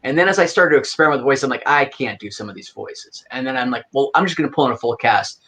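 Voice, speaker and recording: A man's voice: mid-range voice, animated, fast talker, sharp tone. A man guest on a podcast, an audio drama creator, talking in a rambling, easygoing and self-amused way. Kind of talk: casual podcast chat.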